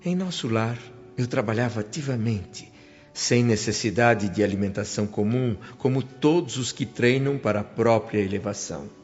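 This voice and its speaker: A man playing a humble, reflective spirit who narrates a radio drama in earnest, measured phrases with a Brazilian accent. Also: lowish voice, darkish tone, lightly acted. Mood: solemn, reflective. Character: reflective, humble male spirit recounting his awakening. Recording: dull audio with music behind.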